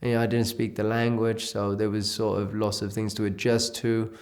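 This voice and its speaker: A man's voice: lowish voice, little pitch movement, a little fast. A man from Ethiopia giving a reflective personal testimony in an interview, telling his life story in a loose, conversational way.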